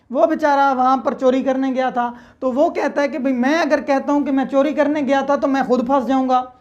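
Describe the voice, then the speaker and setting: A woman's voice: sits high, a little mellow, dull audio. A woman with a Pakistani accent giving an explanatory lecture, methodically repeating key points and mixing in English legal terms. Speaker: law lecturer. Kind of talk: explanatory lecture with everyday examples.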